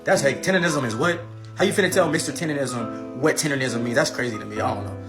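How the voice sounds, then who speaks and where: A man's voice: mid-range voice, quick pace. A man giving sarcastic, amused reaction commentary. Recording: guitar music behind the voice.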